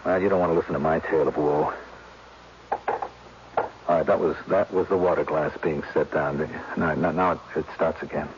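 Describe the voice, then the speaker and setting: A deep-voiced man plays a tired, self-doubting cop in a muffled 1970s drama recording with faint hiss. He is earnest and defensive. He keeps correcting and justifying himself. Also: fairly even intonation, a little fast. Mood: weary, self-justifying. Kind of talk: 1970s radio drama acting. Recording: muffled, noisy old radio recording.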